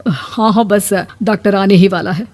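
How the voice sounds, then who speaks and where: A woman's voice: medium pitch, steady tone, normal speed, darkish tone, voice pushed down, close to an everyday voice. A woman voicing a fussy, upbeat elderly father-in-law in a dramatized family story. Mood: polite. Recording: long reverb with music behind.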